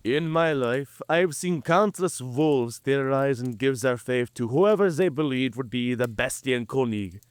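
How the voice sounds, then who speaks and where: A man's voice: medium pitch, expressive, measured pace, hardly any pauses, clean tone, dry recording, close to an everyday voice. A man playing a cunning, proud werewolf in an audio drama, with a theatrical, drawling and mocking delivery. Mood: grave, intrigued. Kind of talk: cinematic audio drama acting.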